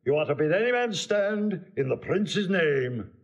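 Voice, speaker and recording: A pompous, muddle-headed comic constable in an audio play. His highish voice lurches all over the scale as he hands out instructions with great self-importance. The recording is a bit dull. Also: big pitch swings, measured pace. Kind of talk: classical stage comedy acting.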